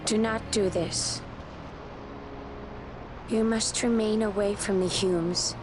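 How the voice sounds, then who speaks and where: A woman playing a solemn exiled warrior of a woodland race in a fantasy game, stern and measured with archaic phrasing. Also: medium pitch, fairly even intonation, noisy background, natural voice. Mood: stern, sorrowful. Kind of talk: dramatic fantasy game acting. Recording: loud orchestral music behind. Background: game soundtrack music.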